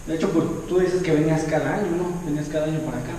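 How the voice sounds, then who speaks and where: A man's voice: fairly high pitch, steady tone, very fast, warm tone, far from the mic, a little hiss or hum. Easygoing young man, echoey room. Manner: easygoing, lots of ajá and ujú, sings snatches of songs. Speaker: podcast host. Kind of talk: casual chat. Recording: echoey room, voice a bit off-mic.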